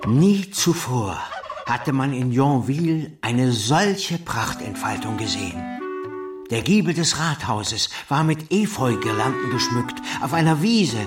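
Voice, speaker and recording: A man's voice: medium pitch, sing-song, a little slow. An actor playing a busy, self-important small-town man in a radio play, speaking in an officious, declamatory and formally courteous way. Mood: proud, declamatory. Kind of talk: German radio play acting. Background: loud music.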